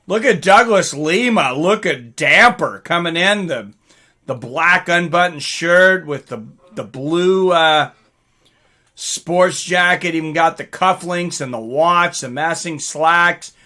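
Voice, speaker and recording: A man hosting a casual livestream, reading out viewer comments and reacting in an excitable, informal way with some swearing. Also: a little high, slow pace. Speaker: livestream host.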